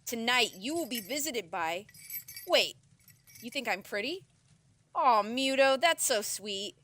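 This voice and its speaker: A woman's voice: fairly high pitch, animated, a little slow, bright, forceful tone, slight background noise. A woman playing a grandiose female ghost in a comedic audio drama, with theatrical delivery. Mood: flattered. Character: grandiose female ghost of dead business partner.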